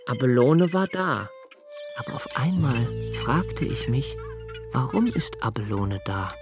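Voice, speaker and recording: A brooding young poet narrator. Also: male voice, medium pitch, lively intonation, music in the background. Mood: musing. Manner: slow, hushed and reflective, dropping to near whispers. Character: young nobleman Malte, brooding poetic narrator. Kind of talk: literary radio play narration.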